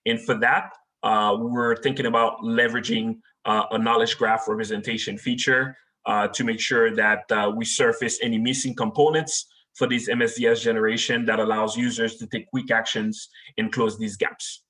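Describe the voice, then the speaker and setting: A man with a Haitian accent, native in French and Creole, giving a technical webinar talk and answering questions, with many filler pauses. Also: lowish voice, level tone, pushed and bright. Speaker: webinar speaker. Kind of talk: technical presentation and Q&A.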